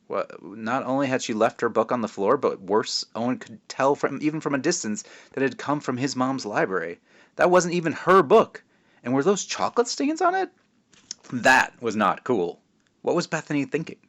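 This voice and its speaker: A man reading a children's book aloud as its author, expressive and wry, slipping in casual asides. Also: medium pitch, muffled recording. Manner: expressive, self-interrupting, wry.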